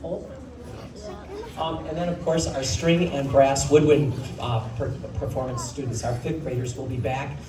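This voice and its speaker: A man directing a school play gives a rambling thank-you speech over a microphone in a school auditorium, calling names out loudly. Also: mid-range voice, steady tone, moderate pace. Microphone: handheld microphone through PA, recorded from the audience. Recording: echoey hall from far off with crowd.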